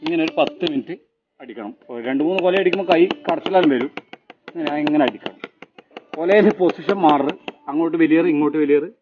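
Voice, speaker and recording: A man's voice: a little high, highs cut off, background noise. A man with a northern Kerala Kannur accent, a toddy tapper informally explaining his trade hands-on. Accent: northern Kerala (Kannur) Malayalam. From Kannur region of Kerala, India. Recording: music behind the voice. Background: instrumental background music.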